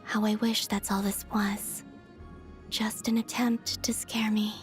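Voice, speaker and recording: A woman playing a gentle, wistful young moon maiden in video game voice lines, soft-spoken, breathy and measured, telling stories with otherworldly calm. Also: mid-range voice, soft background music, lightly acted. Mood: quiet sorrow. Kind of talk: video game character voice lines, storytelling monologue.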